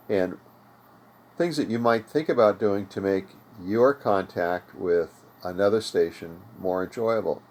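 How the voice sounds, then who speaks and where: Low-voiced older American man, informal tutorial. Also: a little mellow. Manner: conversational, explanatory. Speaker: host of an amateur radio tutorial series. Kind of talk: informal unscripted tutorial talk. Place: home radio room.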